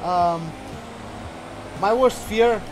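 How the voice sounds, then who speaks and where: A man's voice: high voice, very slow, background noise. A young man from Portugal giving loose, rambling commentary while he plays a racing game, now and then swearing when something surprises him. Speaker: gaming channel host. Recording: game music playing behind.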